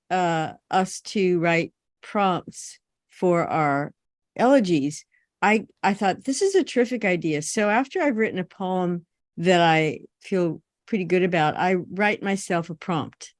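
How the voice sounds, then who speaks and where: Woman poet chatting on a panel, voice a little low, dry close sound, kinda hesitant. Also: no echo. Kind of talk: conversational panel remarks. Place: online video call.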